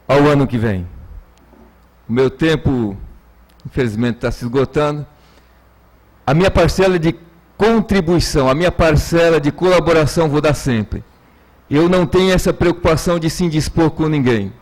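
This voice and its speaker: A Brazilian man speaks as a city councillor on the chamber floor. His voice sits a little high for a man. He is emphatic and oratorical, often repeating a phrase to drive it home. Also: darkish tone. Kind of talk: political floor speech.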